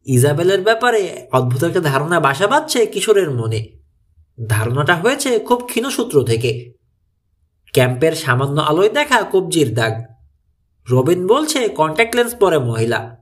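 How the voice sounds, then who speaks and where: High-voiced male audiobook narrator. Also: very animated, moderate pace, pauses often. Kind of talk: scripted narration of a mystery thriller story.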